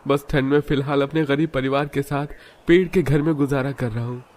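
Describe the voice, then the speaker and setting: A man's voice: mid-range voice, fairly even intonation, brisk, a little mellow, lightly acted. A man voicing earnest village men in a cartoon moral story, speaking emphatically. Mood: humble. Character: grateful village headman and humble poor young husband.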